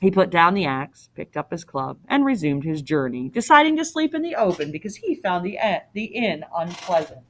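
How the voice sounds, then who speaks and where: A woman's voice: fairly low pitch, very animated, moderate pace, hardly any pauses, fairly bright tone. A woman reading a story aloud as a teacher, expressively, with casual explanatory asides.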